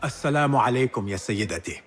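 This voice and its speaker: An actor playing a determined, courteous young assassin in a video game, measured and formal in speech. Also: male voice, medium pitch, animated, close to an everyday voice. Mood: polite, probing. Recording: loud music behind.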